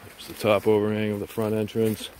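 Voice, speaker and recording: Low-voiced man vlogging outdoors. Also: a little fast. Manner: excited, pointing things out while scrambling. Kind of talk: casual handheld walking commentary. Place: outdoors in rocky woodland. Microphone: handheld action camera. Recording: outdoor camera audio with clear wind and rustling.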